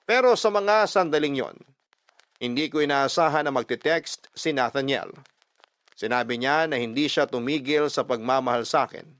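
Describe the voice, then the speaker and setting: A man narrating a first-person love story dramatically, expressive and emphatic, mixing Tagalog and English. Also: medium pitch, moderate pace, no room sound. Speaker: storyteller reading a listener's letter.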